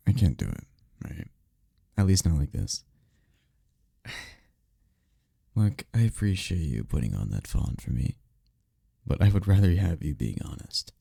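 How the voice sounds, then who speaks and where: Casting: male actor as an intimate demon boyfriend for ASMR roleplay, very gravelly and slow, teasing yet tender. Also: dark tone, no echo, clean studio sound, exaggerated voice. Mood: concerned, pleading. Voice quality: low, gravelly, husky, breathy. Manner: slow, intimate, often dropping into whispers. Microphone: close-up microphone, dry sound.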